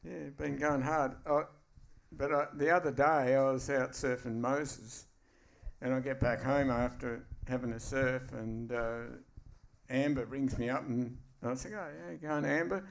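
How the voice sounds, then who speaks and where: A man's voice: mid-range voice, steady tone, measured pace, creaky. A man, telling a surf story in a laid-back, easygoing way. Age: 70s. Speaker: guest surfer. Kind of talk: casual chat on a live podcast.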